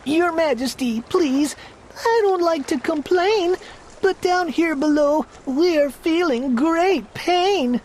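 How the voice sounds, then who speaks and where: A woman's voice: very high-pitched, slow pace, darkish tone, a little hiss or hum, pitched above the performer's own voice. A woman voicing a meek, plain little male turtle who politely pleads about his suffering. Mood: pleading.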